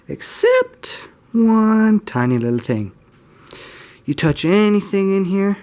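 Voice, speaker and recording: A man talking casually and informally as he gives a hands-on demonstration, rambling a little and self-deprecating. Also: fairly high pitch. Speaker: hobbyist video host. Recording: steady mains hum and room noise. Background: electrical hum and faint static.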